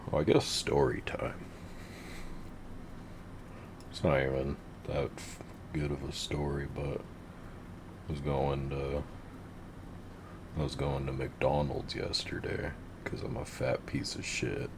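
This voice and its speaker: Super deep gravelly dude rambling slow over his game, swears a lot, noisy bg. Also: flat delivery. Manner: laid-back, swearing, rambling. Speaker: gamer narrating his play. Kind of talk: casual gameplay commentary.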